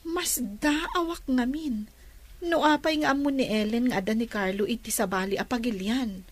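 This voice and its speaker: A woman's voice: fairly high pitch, moderate pace, no echo, natural voice. An actress playing an earnest, stubborn young woman in love in a radio drama. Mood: insistent.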